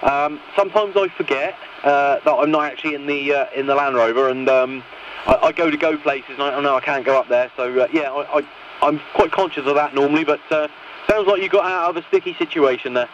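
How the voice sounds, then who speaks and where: A man's voice: on the high side, brisk, fairly bright tone. A man chatting casually as an amateur operator over CB radio. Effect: CB radio transmission. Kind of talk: casual radio net chat. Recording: noisy, muffled CB radio signal.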